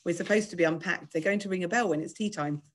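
A woman's voice: lowish voice, quick pace, lightly acted. A cheerful, greedy, chubby lad from a children's storybook, voiced by a woman. He is easygoing and friendly in the way he talks.

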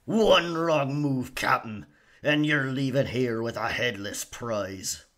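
Slow-talking roguish pirate played by a man. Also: medium pitch, a bit bright. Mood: cocky, taunting. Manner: theatrical pirate talk, taunting, drawn-out. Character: roguish, cocky male pirate, sly and charming. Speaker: ASMR roleplay performer. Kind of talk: pirate ASMR roleplay acting.

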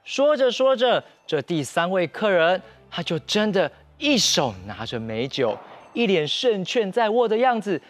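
Animated young Taiwanese male storyteller. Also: sits high, unhurried, soft background music. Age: young adult. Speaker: children's TV storyteller. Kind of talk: animated children's story narration. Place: TV studio set.

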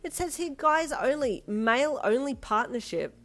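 A woman voicing a feisty, determined young woman in an acted audio story, emphatic and quick to snap. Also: sits high, soft background music, pitched above the performer's own voice. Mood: indignant. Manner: emphatic, excitable.

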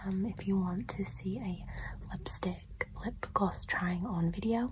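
A young woman whispering softly and gently in a casual, unhurried ASMR style as she presents things close to the mic. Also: medium pitch, noisy background. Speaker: ASMR video host. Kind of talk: soft-spoken whispered ASMR product show-and-tell.

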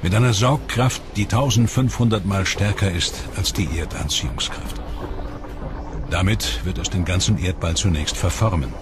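A man reading scripted documentary voice-over in a measured, grave and dramatic way. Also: low voice. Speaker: documentary narrator. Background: music under the voice.

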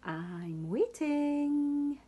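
A woman voicing a young bear cub at bedtime, stubborn and expectant, with loud refusals and drawn-out replies. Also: sits high, animated, noisy background, pitched above the performer's own voice, full character voice.

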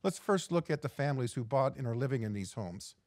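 Exec director type guy reading his keynote slides, steady, some restarts, dead dry room. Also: medium pitch, dry recording. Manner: measured, reads from slides with occasional restarts. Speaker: executive director giving a keynote. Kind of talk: prepared keynote presentation. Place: indoor studio in front of a backdrop.

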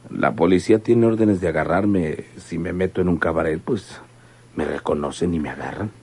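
Deep raspy carefree flirt. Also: male voice, normal speed, darkish tone. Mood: sly, playful. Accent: Mexican. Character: carefree flirtatious man on the run. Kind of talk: Mexican radio serial acting.

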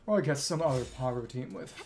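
A man's voice: medium pitch, quick pace, noisy background. A young man streaming a video game, rambling casually and thinking aloud to himself as he plays. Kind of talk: casual gameplay commentary. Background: game music and effects.

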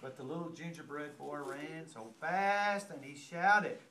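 An older man in his sixties reads a picture book aloud to small children. His delivery is expressive and conversational. He is picked up from across an echoey, noisy classroom. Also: a little high, unhurried. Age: 60s. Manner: expressive, repeats refrains, asks children questions. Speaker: guest reader to a class of young children. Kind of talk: animated storybook reading aloud. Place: indoor classroom with young children. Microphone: distant handheld camera. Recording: distant mic, echoey room, noisy with clicks.

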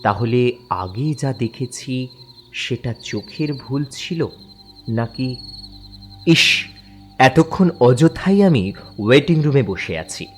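A man narrating a horror story in the first person, dramatic and suspenseful, halting in tense moments. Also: medium pitch.

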